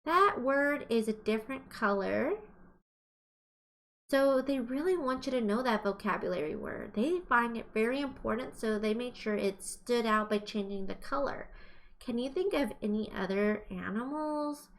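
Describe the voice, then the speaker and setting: A woman's voice: a little high, moderate pace, fairly bright tone. A woman teacher reading aloud to young children, explaining as she goes and asking questions in an exaggerated, encouraging way. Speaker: kindergarten teacher.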